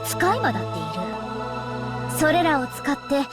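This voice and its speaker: An eerie little girl from a visual novel, high in voice and widely swinging in pitch. She is childlike yet solemn, lecturing like a small scholar of witchcraft. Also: sing-song, quick pace. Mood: eerily confident. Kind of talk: Japanese visual novel voice acting. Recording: prominent music behind the voice.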